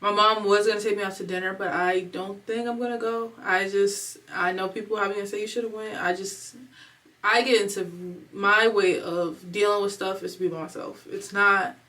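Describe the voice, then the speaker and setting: A young woman in her twenties rambles candidly in a slangy home vlog, emotional and holding back tears. Her voice sits at an ordinary middle pitch. She is a little distant from the microphone. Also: fairly even intonation, moderate pace, sharp tone. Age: 20s. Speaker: vlogger. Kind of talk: casual confessional vlog talk. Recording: voice a bit far from the mic.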